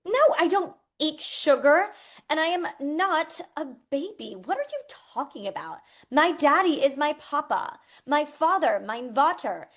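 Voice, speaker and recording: A woman voices a spoiled, vindictive young daddy's girl for a romcom read. Her voice is high and swings up and down dramatically, full of haughty petulance. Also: big pitch swings, a little slow. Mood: indignant. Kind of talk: table read of a romcom script.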